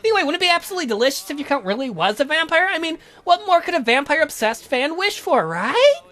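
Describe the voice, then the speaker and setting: A woman's voice: high-pitched, big pitch swings, fast, a bit bright, pitched above the performer's own voice. A woman voicing a bossy, teasing young female best friend in a visual novel, theatrical and gushing. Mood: playful, smug. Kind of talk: visual novel read aloud in character.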